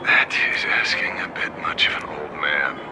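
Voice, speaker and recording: A man voicing a stern, weary old partner in crime in a video game, terse and deadpan. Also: very deep voice, normal speed, very noisy. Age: older. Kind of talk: dramatic video game voice acting.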